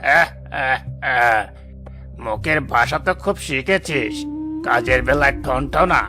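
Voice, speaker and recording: A man voicing a greedy, bullying fish trader in a cartoon, creaky-voiced, with a mocking, domineering manner. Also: medium pitch, a little slow, music in the background, close to an everyday voice. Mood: mocking, scornful. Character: greedy, sneering, bullying male fish trader and boat owner. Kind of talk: dramatised cartoon dialogue.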